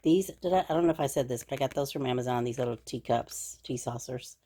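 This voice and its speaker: A woman's voice: rumbling low, fast, mellow. A middle-aged woman hosting a crafting video, chatting and gushing over her supplies with plenty of fillers, her voice a little scratchy from a cold. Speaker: craft channel host. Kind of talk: casual crafting show-and-tell.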